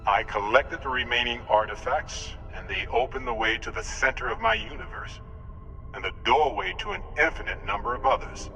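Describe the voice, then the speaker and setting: Solemn space emissary in a game, sincere and intense, bit of gravel in the voice. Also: male voice, mid-range voice, sharp tone. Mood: grave. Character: solemn, earnest otherworldly male emissary, protective idealist. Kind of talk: dramatic video game acting.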